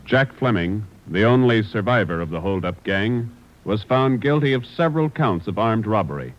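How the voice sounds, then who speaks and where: A man's voice: lowish voice, expressive, normal speed. An American man reading scripted announcements in a formal mid-century radio style. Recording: dull vintage radio recording, music behind.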